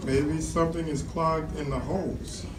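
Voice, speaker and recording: Older man playing a higher-voiced practical friend. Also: fairly even intonation, measured pace, natural voice. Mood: practical, puzzled. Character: practical, questioning male friend on the telephone. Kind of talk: amateur radio theatre reading. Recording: echoey room, distant mic, noisy background.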